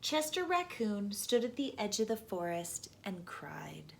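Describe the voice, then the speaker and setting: A woman hosting storytime, narrating a children's book with deliberate phrasing for young listeners. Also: medium pitch, big pitch swings, creaky. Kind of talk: children's storybook read-aloud.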